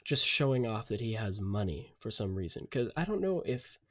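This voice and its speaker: A man's voice: on the low side, big pitch swings, moderate pace, fairly bright tone. A man co-hosting a podcast, speaking in casual discussion and arguing his opinions with repeated words. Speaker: podcast co-host.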